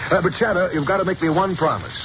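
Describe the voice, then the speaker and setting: A man's voice: high voice, fast, lightly acted. An actor playing a blustering, scheming male police commissioner in a 1930s radio drama, hesitating and stammering through his lines. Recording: muffled 1930s radio broadcast with hiss.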